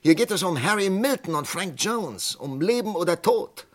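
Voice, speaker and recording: An actor playing an agitated, morally outraged psychiatrist in a German radio crime drama, speaking urgently and haltingly. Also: male voice, high voice, lively intonation. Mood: urgent pleading.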